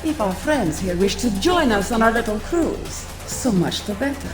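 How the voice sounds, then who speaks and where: A woman playing a vengeful, coldly poised supervillain leader in a video game cutscene, deliberate, menacing and grandiose. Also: on the high side, sing-song. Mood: smug. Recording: music playing behind the voice.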